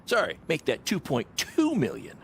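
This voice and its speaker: High-pitched, swooping wrestling promoter. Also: male voice, wildly swooping pitch, normal speed, breathy edge, full character voice. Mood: excited, persuasive. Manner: salesman-like, self-satisfied, theatrical. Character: brash, scheming indie wrestling promoter, relentlessly upbeat hype man. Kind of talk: video game cutscene acting.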